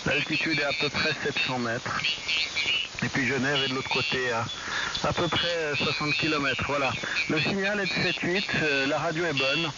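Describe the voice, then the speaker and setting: A man's voice: a little high, moderate pace. A man from Switzerland, an amateur radio operator, giving signal reports politely and a little hesitantly over the radio. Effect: radio transmission. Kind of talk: casual ham radio exchange. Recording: dull radio audio, loud static noise.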